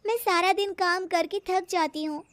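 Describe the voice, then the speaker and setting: A woman's voice: very high-pitched, steady tone, normal speed, fairly bright tone, no echo, pitched above the performer's own voice. A woman voicing a dutiful young daughter-in-law in a cartoon moral story, polite and earnest. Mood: weary, pleading.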